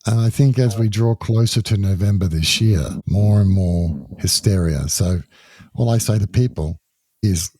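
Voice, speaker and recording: A man guesting on a podcast, talking in a casual, measured, down-to-earth way, with a croaky voice. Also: fairly low pitch, mellow, dry recording. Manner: measured, down-to-earth, urges sticking to facts.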